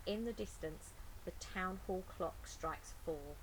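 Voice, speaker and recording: A woman's voice: lowish voice, slow, a little hiss or hum, poor audio. A woman reading a picture book aloud expressively, adding chatty asides to young listeners. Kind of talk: expressive children's story reading.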